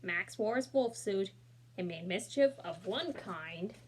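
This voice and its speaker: Woman reading a kids' book out loud, gentle, chats with the little ones between pages, does the voices. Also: mid-range voice, measured pace, slightly rough. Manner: gentle, talks to young listeners; expressive, voices the characters. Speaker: storybook reader. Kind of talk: children's read-aloud with asides.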